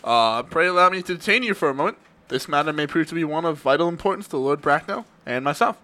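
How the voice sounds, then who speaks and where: A man's voice: fairly high pitch, expressive, quick pace, sharp tone. A man playing a haughty older aristocratic lady who speaks in a commanding, formal, disapproving way. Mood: imperious, demanding.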